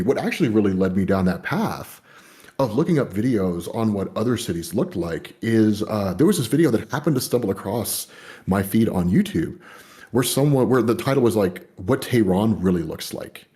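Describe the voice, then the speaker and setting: A man's voice: lowish voice, big pitch swings, a little fast, dark tone. A man in casual conversation, rambling with lots of fillers and the odd swear. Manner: rambling, many fillers and "you know".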